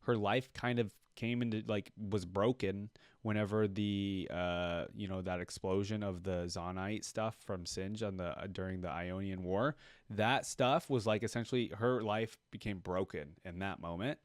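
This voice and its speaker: A man with a fairly low voice hosts a reaction video. He comments casually on video game audio, chatty and rambling as he thinks aloud. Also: fairly even intonation, normal speed, clear, resonant tone, no echo, studio quality.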